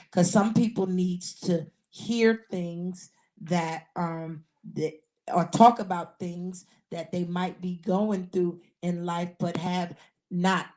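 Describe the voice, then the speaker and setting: Raspy woman hosting a slow podcast. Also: on the low side, mellow. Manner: repetitive, earnest, many restarts. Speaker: podcast host. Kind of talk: casual live podcast talk. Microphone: handheld mic.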